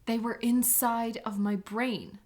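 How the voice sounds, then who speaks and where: Woman acting an anxious, rattled sketch artist, higher-pitched. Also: steady tone, takes it slowly, darkish tone. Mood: distressed, urgent. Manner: agitated, emotional. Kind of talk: dramatic audio drama acting.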